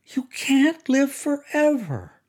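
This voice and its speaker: A man voicing a brassy, gushing, excitable woman in an audiobook, with theatrical, affected chatter. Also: high voice, normal speed, husky, pitched above the performer's own voice, heavily acted. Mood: giddy, thrilled. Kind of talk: audiobook character voice.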